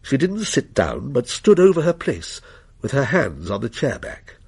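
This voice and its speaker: Animated male storyteller, slightly husky. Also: mid-range voice. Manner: measured, literary storytelling. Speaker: narrator. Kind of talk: scripted dramatic story narration.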